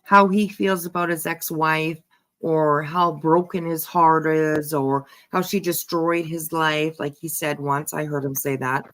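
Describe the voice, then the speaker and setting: Lowish voiced lady host, really slow, thinking out loud about a case, repeats herself for emphasis. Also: steady tone. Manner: repetitive, halting, emphatic musing. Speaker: host giving commentary on a true-crime case. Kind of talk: casual reaction commentary.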